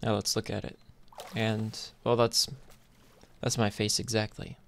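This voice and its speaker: A man's voice: on the low side, flat delivery, a little slow, creaky, a bit bright. A man giving casual gameplay commentary in a laid-back, hesitant way, thinking aloud as he goes. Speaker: gaming YouTuber. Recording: noisy mic, clicks and typing.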